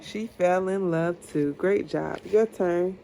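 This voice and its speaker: A mother reading a storybook aloud to her child in a patient, deliberate, encouraging way. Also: fairly low pitch, vocal fry, mellow, slight background noise.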